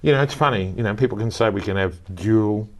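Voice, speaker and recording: A man hosts a relaxed community television interview in a low-pitched voice. He asks short questions and keeps encouraging his guest along. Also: quick pace. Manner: encouraging, frequent backchannel. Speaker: interviewer host. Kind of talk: community TV chat.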